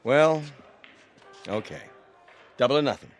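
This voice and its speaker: An actor with an American accent playing an easygoing but wary traveling pool player in a radio drama. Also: male voice, mid-range voice, expressive, unhurried, soft background music, close to an everyday voice. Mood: wary, amused.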